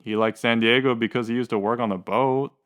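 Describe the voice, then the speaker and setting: A man's voice: mid-range voice, fairly even intonation, a little fast, a bit bright, natural voice. A man playing a dejected, lovesick suspect under questioning in a radio drama, plainspoken and unsure. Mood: hesitant.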